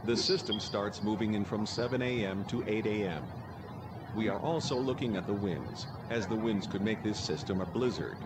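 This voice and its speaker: A man plays a television weather forecaster with a fairly low voice. The delivery is plain and informative, read from a script, over clear background noise on an amateur mic. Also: moderate pace, natural voice. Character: TV weather forecaster warning of a coming blizzard. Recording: noisy amateur mic, outdoor-like hum.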